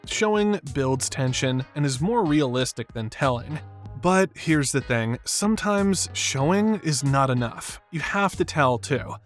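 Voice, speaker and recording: A man delivering scripted narration for an analytical video essay. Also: on the high side. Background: background music.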